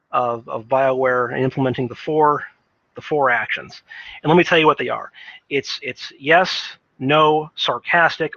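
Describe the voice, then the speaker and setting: Bright-voiced man rambling casual commentary, lo-fi sound. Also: mid-range voice, no echo. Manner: rambling, hesitant, thinking aloud. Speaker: video game commentator. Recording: dull lo-fi microphone sound.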